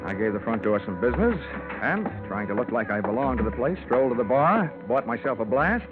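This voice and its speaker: An actor playing a wry, hard-boiled insurance investigator, deadpan and wisecracking, in an old-time radio detective drama. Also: male voice, medium pitch, a little fast, over loud music, lightly acted. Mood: wry, narrating. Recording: tinny vintage radio recording.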